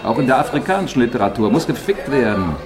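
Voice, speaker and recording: An actor playing a pompous, blunt middle-aged publishing boss in a radio play. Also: male voice, medium pitch, very animated, quick pace, a loud crowd, natural voice. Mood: grandly opinionated. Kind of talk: German radio play dialogue. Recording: music behind the voice. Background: music.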